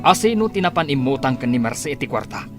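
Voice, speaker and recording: A man's voice: mid-range voice, sing-song, quick pace, over music, lightly acted. A man acting as a stern village father in a radio play. His mid-range voice swings widely in exasperation as he lays down the law to his children. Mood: angry, exasperated.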